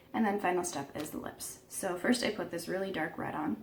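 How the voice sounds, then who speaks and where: Croaky low-voiced woman vlogger. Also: darkish tone, a little hiss or hum. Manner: chatty, self-deprecating, laughs at herself. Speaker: beauty vlogger. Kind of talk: casual makeup tutorial.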